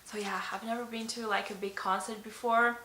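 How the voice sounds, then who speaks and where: A young Slovenian woman, whose first language is Slovene, runs through a tag video in a casual, chatty way. Her voice is bright and clear, with plenty of ums and likes. The sound carries a noticeable echo from the bedroom. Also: fairly high pitch, flat delivery, brisk, slight background noise. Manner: chatty, many ums and likes, laughs often. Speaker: beauty vlogger. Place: bedroom, indoors.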